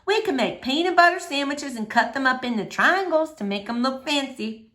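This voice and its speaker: A woman's voice: high-pitched, expressive, moderate pace, bright, forceful tone, voice pushed up. A woman voicing an eager, pleading young Southern girl in a storybook, polite, folksy and insistent. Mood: excited, persuasive.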